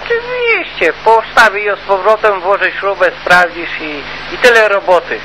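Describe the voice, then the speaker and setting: A man's voice: sits high, big pitch swings, normal speed. A man giving advice in a casual, colloquial and repetitive way during a CB radio chat. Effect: CB radio transmission. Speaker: CB radio hobbyist. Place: two-way CB radio conversation. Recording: noisy CB radio transmission.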